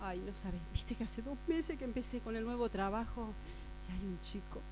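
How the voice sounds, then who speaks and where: A woman acting a gossipy friend confiding secrets in a hushed, urgent way with an Argentine accent, in an improvised radio drama. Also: a little high, normal speed, natural voice. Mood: secretive, excited. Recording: mains hum noise.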